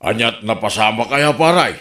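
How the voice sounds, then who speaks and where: A man's voice: mid-range voice, expressive, moderate pace, a bit bright, close to an everyday voice. A man with an Ilocano accent playing an apologetic, inquisitive buddy in a comic radio drama. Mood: apologetic, puzzled.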